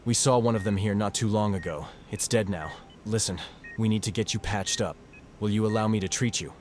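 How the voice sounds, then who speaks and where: A man playing an earnest, troubled young doctor in a horror audio drama, speaking in a sober, measured way. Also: fairly low pitch, a little mellow, a little hiss or hum, close to an everyday voice. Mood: calm, urgent. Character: troubled young male doctor, earnest and guilt-ridden narrator. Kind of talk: horror audio drama dialogue and first-person narration.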